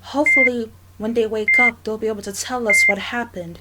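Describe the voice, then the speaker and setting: A calm female hospital staffer played by a woman with a fairly high voice. She speaks politely and carefully, with some hesitation, as in an amateur audio drama. The recording is very noisy. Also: a little fast, lightly acted. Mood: grave, measured. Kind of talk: dramatic ASMR roleplay acting.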